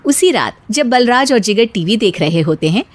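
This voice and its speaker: A woman's voice: on the high side, expressive, normal speed. A woman narrating a scripted cartoon storybook tale as its storyteller.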